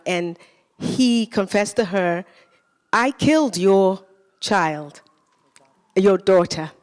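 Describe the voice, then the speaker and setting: A woman speaks at a theater talkback, earnest and reaching for the right words. Her mid-pitched voice rings with a long hall reverb. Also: clean tone. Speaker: cast member in a post-show talkback. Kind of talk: post-show panel talk. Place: theater stage.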